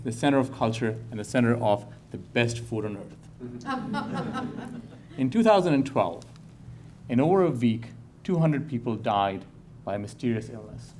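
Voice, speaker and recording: A man's voice: medium pitch, darkish tone, a little hiss or hum. Earnest man from Pakistan giving a talk. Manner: earnest, slow emphatic phrasing. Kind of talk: public talk to a live audience. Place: lecture hall.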